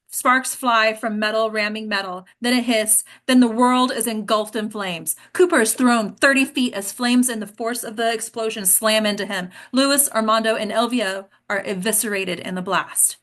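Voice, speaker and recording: A woman's voice: on the high side, moderate pace, few pauses, a bit bright. A woman leading an actors' table read, reading and chatting in an informal, encouraging way. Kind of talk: script reading and group discussion.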